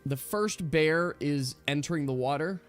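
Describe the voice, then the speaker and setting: A man's voice: fairly high pitch, unhurried, clear, resonant tone. A man running an online tabletop role-playing game, explaining the action step by step as game master. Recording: music behind the voice. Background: music.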